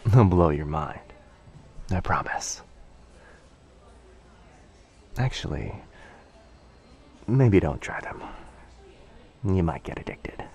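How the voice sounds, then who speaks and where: A man playing a very shy, gentle young stranger in a boyfriend ASMR roleplay, speaking softly and haltingly, often near a whisper. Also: sits low, sing-song, full character voice. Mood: shy, tentative. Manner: hesitant, apologetic, halting, often dropping to a breathy near-whisper. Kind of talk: boyfriend ASMR roleplay, soft intimate acting.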